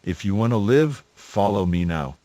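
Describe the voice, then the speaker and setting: A man's voice: fairly low pitch, lively intonation, moderate pace, mellow, dry recording, voice pushed down. A man playing a tough, commanding action-movie hero through an AI voice changer. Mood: commanding, urgent.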